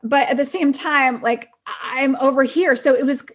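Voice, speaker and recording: Female podcast guest, fairly high voice, effusive, conversational, reflective, lots of "like". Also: moderate pace. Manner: effusive, conversational, frequent "like" and "you know". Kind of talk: casual chat, personal reflection.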